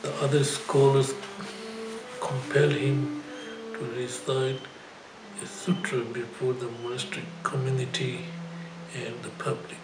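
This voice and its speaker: An older man, a Buddhist teacher, reads a scripted life story aloud in a halting, phrase-by-phrase way. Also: medium pitch, flat delivery, background noise. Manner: halting, phrase-by-phrase delivery with frequent throat clearing. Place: indoor shrine room. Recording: roomy echo, music behind.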